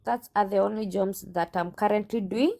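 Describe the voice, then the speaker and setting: A woman's voice: medium pitch, fairly even intonation, moderate pace, vocal fry, warm tone. A woman recruitment agent being interviewed, firm and sassy, answering back with her own questions.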